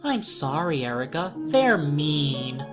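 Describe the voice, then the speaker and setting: A woman's voice: sits low, sing-song, takes it slowly, exaggerated voice. A woman voicing a frightened, defiant young boy in a horror story, hesitant and whimpering, with music behind. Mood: defensive.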